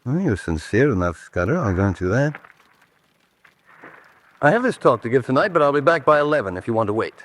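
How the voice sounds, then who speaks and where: A sceptical man of means in a radio mystery. His delivery is brusque and drily sardonic, with very lively intonation that rises and drops as he dismisses what he hears. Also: mid-range voice, fairly quick, pauses often. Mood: reserved. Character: sceptical, curt gentleman, dryly dismissive master of the house. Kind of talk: radio drama acting.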